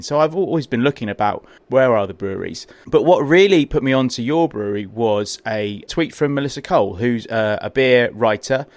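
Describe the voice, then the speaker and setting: A man's voice: mid-range voice, no echo. A man from London with a London accent, hosting a podcast interview in a small brewery. He talks with enthusiasm, often repeats words as he starts a thought, and is recorded close and dry. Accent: London English. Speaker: podcast host. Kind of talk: casual interview chat.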